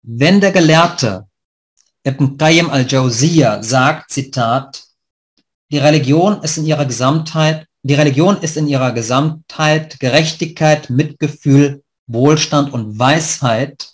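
A man giving a prepared online lecture, reading in a measured, formal way over a webcam. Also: fairly high pitch. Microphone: webcam on a video call.

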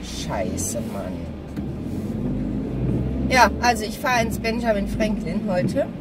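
A middle-aged woman chats casually to camera while driving, halting and distracted, with grumbling asides. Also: medium pitch, wildly swooping pitch, over loud music. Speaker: vlogger. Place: inside a small car while driving. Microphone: phone or camera mounted on dashboard. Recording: distant mic, loud car and road noise. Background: car and traffic noise, navigation voice.

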